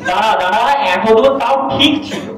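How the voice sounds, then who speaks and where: A man's voice: sits high, a little fast, a bit bright. A man playing an exasperated village husband in a comic audio drama, explaining his tangled family insistently, step by step. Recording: distant echoey hall recording with audience noise.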